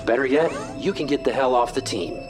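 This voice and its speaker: A man's voice: mid-range voice, brisk, music in the background, natural voice. A man playing a bossy, short-tempered armored superhero in an audio drama, curt and commanding. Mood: angry.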